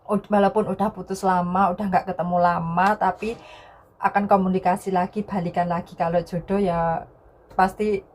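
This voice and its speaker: A woman's voice: on the low side, level tone, normal speed, a little mellow. Woman telling a personal story, noisy recording. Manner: repeats and rephrases phrases, often tagging sentences with "ya". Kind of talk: casual storytelling to viewers.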